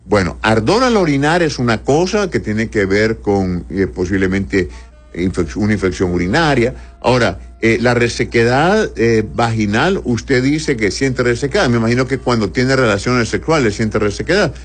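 A man hosting a call-in medical advice show, calmly explaining conditions and reading listeners' messages aloud. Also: medium pitch, moderate pace, soft background music. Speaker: radio doctor answering listener questions.

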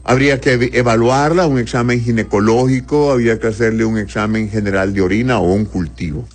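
A man hosting a call-in medical advice show, calmly explaining conditions and reading listeners' messages aloud. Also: medium pitch, fairly quick, faint music behind. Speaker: radio doctor answering listener questions.

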